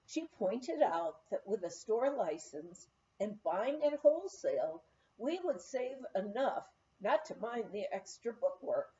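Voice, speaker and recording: Female memoir reader, unhurried and mellow, expressive storytelling delivery, slightly lo-fi recording. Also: mid-range voice, slight background noise. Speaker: audiobook reader.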